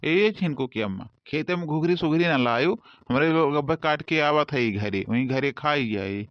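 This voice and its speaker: A man's voice: a little high, lively intonation, moderate pace, highs cut off, lightly acted. A man voicing a complaining village farmhand in a rustic comedy cartoon, speaking with an Awadhi accent. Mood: defensive banter. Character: grumbling Awadhi village farmhands idling over cane cutting.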